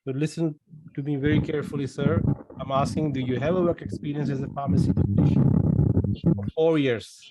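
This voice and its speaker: A man's voice: a little high, brisk. A middle-aged man from Pakistan with a Pakistani accent, hosting an online question session and advising participants in a blunt, probing way. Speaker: webinar host and pharmacist adviser. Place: small room indoors, on a video call. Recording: noisy video call, clicks.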